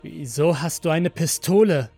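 A man's voice: a little high, animated, unhurried, creaky, warm tone, breathy edge, soft background music, exaggerated voice. Man voicing a bitter, defiant male android. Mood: contemptuous, hissing. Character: rebellious male android insisting he is human.

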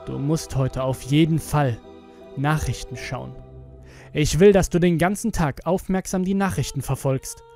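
A man's voice: a little high, measured pace, a little mellow, faint music behind. A man voicing a controlling, sinister school psychologist who speaks insistently in a horror audio story. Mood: grim, commanding. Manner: insistent, commanding.

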